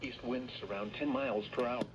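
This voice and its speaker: A man's voice: mid-range voice, fairly even intonation, fairly quick. A synthetic male announcer voice reading a scripted weather forecast, played through a radio speaker. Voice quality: synthetic computer voice. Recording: dull, noisy weather radio playback.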